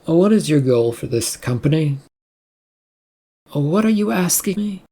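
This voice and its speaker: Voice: medium pitch, expressive, unhurried, mellow, husky, voice pushed up. A reader voicing a defiant male researcher in an audiobook story, blunt, probing and flippant. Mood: confrontational. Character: defiant, smirking male researcher challenging his boss.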